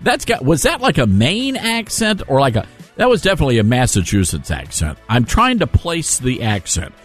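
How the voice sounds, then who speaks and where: A man's voice: medium pitch, wildly swooping pitch, normal speed, a bit bright, faint music behind. A man hosting a conversational call-in radio show, with a sardonic, joking and emphatic way of talking. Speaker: radio talk show host.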